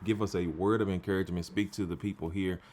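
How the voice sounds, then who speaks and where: A man's voice: low voice, fairly even intonation, fast, a little mellow, slight background noise. A man hosting an interview in a casual, playful style, joking with the audience and urging them like a preacher. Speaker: interview host.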